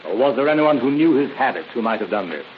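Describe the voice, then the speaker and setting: Actor as a brisk, firm police inspector. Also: male voice, medium pitch, lively intonation, natural voice. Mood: questioning. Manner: measured, probing, polite but firm. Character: patient, businesslike male police inspector questioning people. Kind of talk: old-time radio drama acting. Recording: dull, hissy old radio recording.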